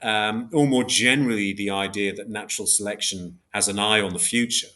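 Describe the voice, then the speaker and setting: A man with a fairly low voice speaks as an academic guest in an interview. He explains step by step in a measured, somewhat hesitant way, often reaching for an example. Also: bright, forceful tone. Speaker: podcast guest, philosopher of biology.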